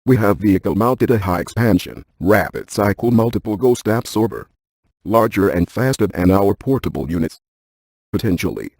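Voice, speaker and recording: An unflappable scientist game hero, low and dark in voice with a slightly husky edge. He rattles off technical detail in a precise, clinical deadpan, recorded close and dry. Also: moderate pace, dry recording. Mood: clinical, matter-of-fact. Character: brainy, unflappable male scientist who hunts ghosts. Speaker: video game character, ghost-hunting scientist. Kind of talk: scripted game voice lines.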